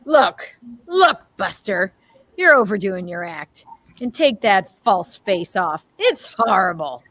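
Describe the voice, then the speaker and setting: A woman's voice: medium pitch, big pitch swings, slow pace, fairly bright tone, no echo, full character voice. A woman playing a brash guest in an old-time radio comedy, delivering lines with a sing-song push. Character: Bob, brash man at a party. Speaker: radio play performer.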